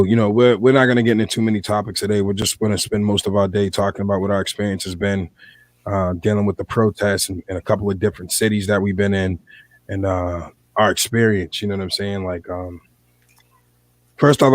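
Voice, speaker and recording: A man with an American accent speaks in a low, slightly hoarse voice. He hosts a casual podcast, feeling his way through his thoughts. The sound is dry, with very little room in it. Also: dry recording. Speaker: podcast host.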